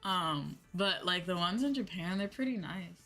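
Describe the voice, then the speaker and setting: A woman's voice: fairly low pitch, lively intonation, normal speed, bright, forceful tone. An American woman chatting casually on a livestream in an informal, slangy, rambling way. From United States. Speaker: VTuber streamer, host. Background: background music.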